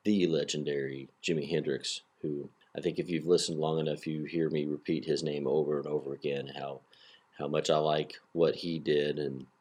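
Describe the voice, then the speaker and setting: A man's voice: very deep voice, level tone, normal speed, darkish tone. A man hosting a solo hobby podcast in a casual, rambling way, with many fillers and restarts, a little nasal from a cold, recorded on a laptop's built-in microphone. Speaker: podcast host.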